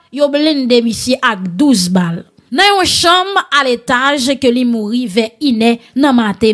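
A woman with a Haitian accent hosting conversational online news commentary, addressing her listeners familiarly in a bantering, animated way. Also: high voice.

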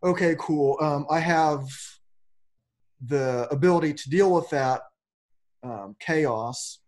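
A man in his forties with a fairly high voice talks slowly over a webcam call. He rambles loosely and drops in casual swear words, like a host thinking out loud. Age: 40s. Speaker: podcast host. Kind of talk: casual philosophical conversation. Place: home room with a plain backdrop. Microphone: webcam on a video call.

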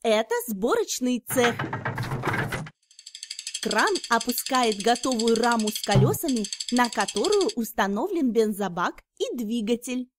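A woman's voice: fairly high pitch, very animated, unhurried, noisy background. A woman narrating a children's educational cartoon, explaining things simply in scripted storytelling. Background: background music.

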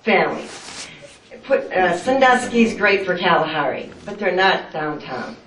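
An older woman speaking up at a town meeting, halting and hesitant, admitting she is nervous. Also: on the low side, sing-song, fairly bright tone. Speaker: resident speaking at a public meeting. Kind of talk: public comment at a town meeting. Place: meeting room with a projection screen. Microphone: far room microphone. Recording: roomy hall, distant microphone.

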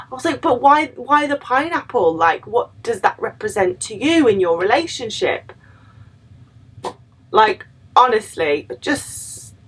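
A woman in her thirties chats to the camera from a small room at home, her voice soft and a little breathy. She tells stories in a loose, talkative way, dropping in lots of fillers and acting out what people said. Her pitch sits in a middle range for a woman. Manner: chatty, lots of "like" and quoted asides. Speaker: vlogger, former cruise ship crew. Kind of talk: casual storytelling to camera.

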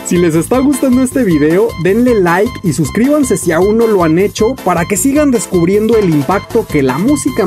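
A man in his thirties hosting a music video, reading a scripted countdown narration with lively delivery. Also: high-pitched. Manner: lively, announcing countdown entries. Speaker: music journalist and channel host. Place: small room at home, indoors.

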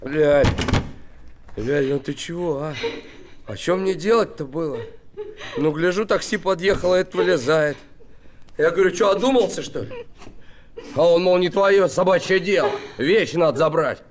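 An actor in his thirties playing a rough, pleading man in a screen drama, talking colloquially and emotionally. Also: fairly high pitch, moderate pace, pauses often, a crowd in the background, natural voice. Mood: defensive pleading. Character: rough, pleading man excusing himself to a woman. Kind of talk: naturalistic screen drama acting. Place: furnished room indoors.

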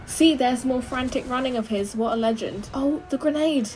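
A young adult woman giving casual, expressive running commentary as she reacts to a film, full of exclamations. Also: sits high, moderate pace, soft background music. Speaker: movie reaction host. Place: small bedroom.

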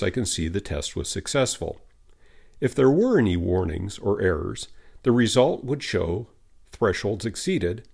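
A man narrating a scripted software tutorial in a measured, instructional manner. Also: low voice, creaky, breathy edge.

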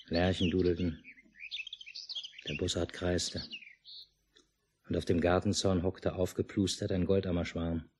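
A father with a very deep voice, calm and understated. He speaks in the measured, literary manner of 1950s radio drama. The mono recording carries slight noise. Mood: calm, descriptive. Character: calm, unruffled family father narrating wartime lakeside life. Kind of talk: 1950s German radio play acting, literary narration.

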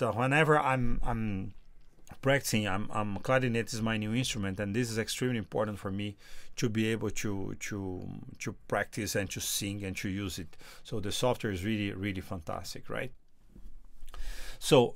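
A man whose first language is Portuguese, reviewing music software in a casual, halting way, often repeating words. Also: fairly low pitch, gravelly, no echo. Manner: halting, repeats words, sings test notes loudly.

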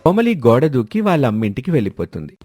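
A man's voice: medium pitch, wildly swooping pitch, quick pace, dark tone, soft background music. A man narrating a scripted family moral story.

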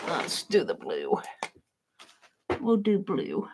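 Lady talking to herself at her craft desk, slow and loud, musing as she draws, overhead cam. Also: lowish voice, wildly swooping pitch, heavy background noise. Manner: musing, chatty, talks to herself as she works. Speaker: art journaling creator. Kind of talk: casual self-talk while drawing. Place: home craft table, indoors.

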